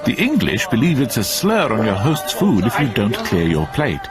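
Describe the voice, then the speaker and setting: A man narrating a bank commercial in a measured, authoritative scripted read. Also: medium pitch, wildly swooping pitch. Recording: with loud music behind.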